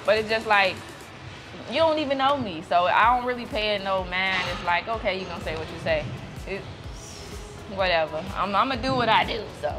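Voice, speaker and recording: A giggly, easygoing woman talks casually as a guest, laughing often between loose, slangy remarks. Music plays clearly underneath. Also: medium pitch, expressive, a little fast. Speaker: guest, gym partner. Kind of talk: casual chat.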